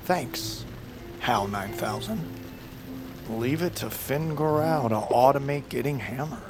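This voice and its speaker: A man's voice: mid-range voice, a little slow, music in the background, close to an everyday voice. A man playing a showy, teasing survivalist breathing guru in an audio drama, with an exuberant, jokey manner. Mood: wry, teasing.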